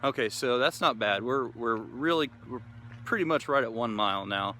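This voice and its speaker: A man's voice: mid-range voice, moderate pace, bright, forceful tone, slight background noise. An American man from the Kansas City area giving a casual, rambling gear review and field test, full of fillers and restarts. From Kansas City area, USA. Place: outdoors in wooded hilly area.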